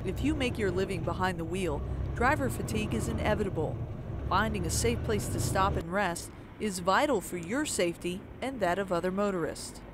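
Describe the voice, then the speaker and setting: A woman's voice: mid-range voice, noisy background. Woman narrating a public information film. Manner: measured, informative. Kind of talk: scripted voice-over narration.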